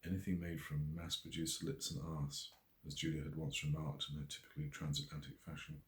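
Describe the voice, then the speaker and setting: A man's voice: rumbling low, little pitch movement, brisk. A man reading scripted narration from his own novel as an audiobook. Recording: echoey room.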